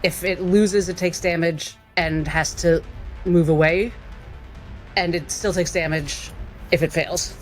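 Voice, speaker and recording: Mid-pitched player, thinking out loud, faint music behind. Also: fairly even intonation, a little slow. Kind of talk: tabletop game play.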